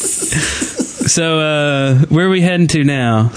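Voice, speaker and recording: A man hosting a podcast in casual chat, informal and halting as he goes. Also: a little high, low-quality recording. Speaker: podcast host.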